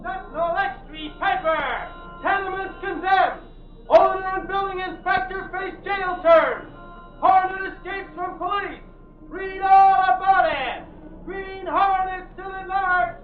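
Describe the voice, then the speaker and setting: An actor playing an eager young newspaper seller in an old radio drama, hawking with drawn-out street cries. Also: male voice, extremely high pitch, fairly even intonation. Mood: eager, crying out. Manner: drawn-out street-vendor cry. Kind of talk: 1930s-40s radio drama acting. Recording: tinny, echoey, distant, music behind.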